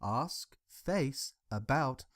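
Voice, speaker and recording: Young southern English man, mid-pitched voice, casual online commentator. Sarcastic, informal, swears freely while ranting. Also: animated, very slow, fairly bright tone. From England. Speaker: commentator reacting to social media posts.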